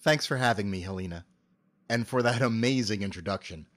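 A man speaking as an interview guest, giving prepared answers in an articulate, measured way. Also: fairly low pitch. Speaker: interview guest, amateur voice actor and animator.

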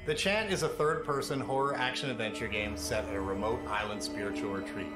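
Man presenting over very loud music. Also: mid-range voice, a little fast. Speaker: game developer presenter. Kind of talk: scripted promotional narration. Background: loud trailer music.

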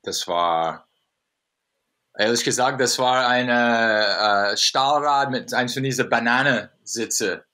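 An American man with English as his first language, a podcast guest on a video call, chatting casually in halting, searching German full of fillers. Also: mid-range voice, pushed and bright. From USA. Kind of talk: casual chat. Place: home room on a video call.

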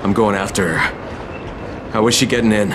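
A man acting as a gritty, determined game hero with a lowish voice. He talks in terse, earnest, weary lines, and there is clear outdoor noise around him. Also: normal speed, natural voice. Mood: urgent. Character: weary, determined male undercover operative hero. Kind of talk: dramatic video game cutscene acting. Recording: clear background noise, outdoor urban ambience.

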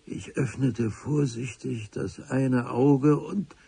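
An actor playing the wry, literate narrator of an adventure radio play, telling it in an ornate, deliberate way. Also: male voice, medium pitch, fairly even intonation, creaky, dark tone, slightly rough, dry recording, heavily acted. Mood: musing.

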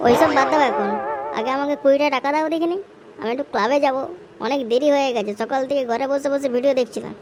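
A woman's voice: high voice, fast, music in the background, lightly acted. A woman voicing a cheeky, idle village son in an amateur comedy cartoon, wheedling and whining in a West Bengal accent. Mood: demanding. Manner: whiny, cajoling, casually cursing.

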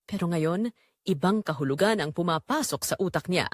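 A Filipino woman narrates a dramatic story with lively, swinging intonation. She phrases it in short, measured pieces that build suspense. The sound is dry and close, with no room around her. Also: lowish voice, no echo.

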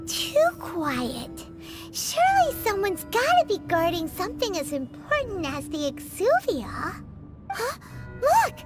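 A tiny floating fairy girl from a video game, extremely high and squeaky. She is chirpy, greedy and excitable, swinging widely in pitch, over prominent music. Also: exaggerated voice. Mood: suspicious, alarmed. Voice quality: squeaky, childlike. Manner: excitable, refers to self in third person. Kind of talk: video game character acting.

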